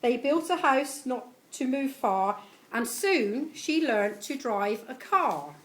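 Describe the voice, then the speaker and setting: A woman's voice: high voice, very animated, slow, a bit bright. A middle-aged woman reads a homemade rhyming poem aloud to gathered guests. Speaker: family member reading a tribute poem at a party. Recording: live event recording with clear background noise.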